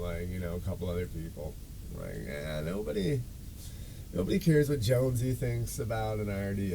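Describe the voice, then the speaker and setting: A man's voice: fairly low pitch, mellow, slight background noise, rough recording. A man hosting a podcast, telling a story in a casual, rambling, self-deprecating way with loose swearing. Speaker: podcast and show host.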